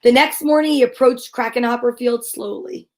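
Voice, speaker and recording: A woman reading a children's picture book aloud in a theatrical, suspenseful, drawn-out way. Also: fairly high pitch, fairly even intonation, background noise. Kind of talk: dramatic children's story read-aloud.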